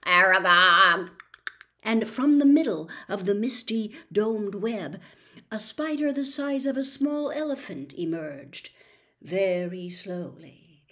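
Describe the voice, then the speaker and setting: Slow, theatrical older woman narrator. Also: mid-range voice, sing-song, warm tone. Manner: theatrical, deliberate, suspenseful. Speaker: storybook reader. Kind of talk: dramatic audiobook-style narration. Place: small bedroom, indoors.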